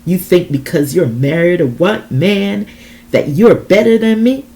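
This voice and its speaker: A woman's voice: on the low side, expressive, unhurried, dark tone, voice pushed up. Female reader as sneering male villain, sardonic, bitterly aggressive, dramatic storybook delivery. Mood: menacing scorn. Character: sardonic, menacing male attacker sneering at his victim. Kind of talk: dramatic audiobook reading.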